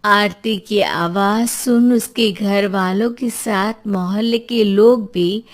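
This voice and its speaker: A woman's voice: medium pitch, fairly even intonation, slow pace. A woman narrating a scripted story as its storyteller.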